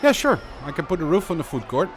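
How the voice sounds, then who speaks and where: A man's voice: on the high side, very animated, fast talker, a little hiss or hum. A Dutch man with a Dutch accent, chatting casually through live gameplay commentary and answering viewer questions as he builds. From Netherlands. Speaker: streamer.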